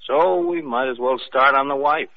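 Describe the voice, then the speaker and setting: An actor playing a brisk, skeptical police lieutenant, in 1940s radio acting style. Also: male voice, mid-range voice, creaky, slight background noise, natural voice. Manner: brusque, businesslike. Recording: tinny old radio recording.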